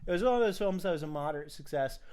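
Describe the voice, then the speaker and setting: A man's voice: fairly high pitch, animated, rapid-fire, darkish tone, clean tone. A man hosting a podcast, talking casually about films as an enthusiastic, opinionated fan. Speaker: podcast host. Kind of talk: casual film discussion.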